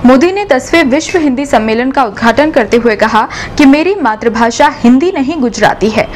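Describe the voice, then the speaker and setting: Young woman, news anchor, high voice, formal scripted delivery, studio mic. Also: moderate pace, a little hiss or hum. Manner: formal, even newsreader delivery.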